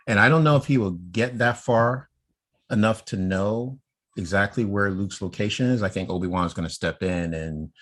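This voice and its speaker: Podcast host: American male, low-pitched voice, informal discussion style. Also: normal speed, a bit bright.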